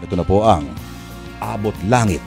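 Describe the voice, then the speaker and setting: A man with a Filipino accent introducing a radio drama in theatrical, scripted narration with drawn-out emphasis. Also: fairly low pitch, creaky, over music.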